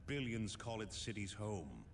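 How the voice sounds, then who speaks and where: An actor playing a grave veteran space marine captain, giving a deliberate, commanding military briefing in a video game. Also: male voice, fairly low pitch, sing-song, heavy background noise. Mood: stern, commanding. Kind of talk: dramatic scripted military briefing.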